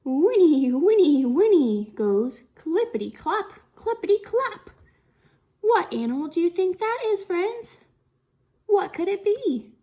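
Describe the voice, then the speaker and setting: Slow, sing-song, high-pitched woman reading to children. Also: warm tone. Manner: sing-song, slow and deliberate, with exaggerated expression and frequent questions. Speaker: children's storytime reader and teacher. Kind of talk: interactive read-aloud of a picture book for young children.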